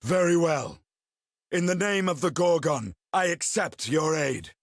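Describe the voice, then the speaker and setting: A man's voice: fairly high pitch, expressive, measured pace, gravelly, no echo, clean studio sound. A man playing a proud, stern superhuman warrior captain in a video game, curt, formal and commanding. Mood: stern pride. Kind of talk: video game cutscene acting.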